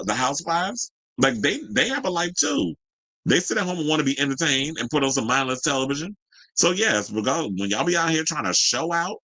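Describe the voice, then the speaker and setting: Casting: American male gossip host, bright forceful voice, sassy and colloquial, moving between read copy and off-the-cuff commentary. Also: medium pitch, rough recording. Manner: sassy, colloquial, exclamatory asides. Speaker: host of a celebrity news and gossip show. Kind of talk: casual gossip commentary mixing read-out news with ad-libbed asides.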